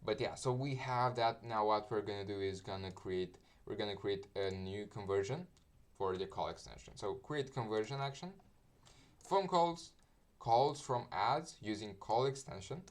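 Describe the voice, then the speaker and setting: A man giving a casual step-by-step software tutorial, speaking in a halting, loosely phrased way with frequent filler asides. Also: on the low side. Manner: hesitant, halting, loose phrasing with repeated "you know" asides. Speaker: marketing tutorial host and media buyer.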